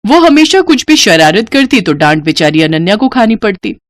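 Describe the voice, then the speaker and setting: A woman tells a moral bedtime story as scripted narration. Her mid-pitched voice moves expressively up and down, and the recording is close and dry. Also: sing-song, normal speed, dry recording.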